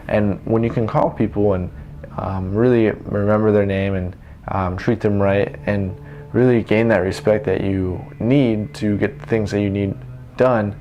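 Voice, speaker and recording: A young man giving casual, unscripted advice in an informal, halting way with trailing thoughts. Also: on the low side, a little mellow. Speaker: vlogger giving self-help tips. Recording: noticeable background noise.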